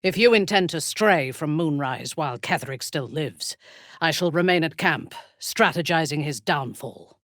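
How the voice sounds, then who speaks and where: An actress playing an imperious, ruthless dark elf warrior priestess in a video game, with a haughty, commanding manner and formal, measured phrasing. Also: female voice, sits low, expressive, dry recording, studio quality. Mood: cold, measured. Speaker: video game companion character. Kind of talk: dramatic video game voice acting.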